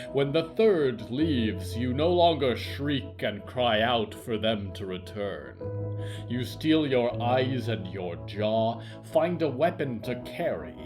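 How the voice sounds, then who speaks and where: A man narrating scripted horror fiction in a theatrical, deliberate and ominous manner. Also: medium pitch.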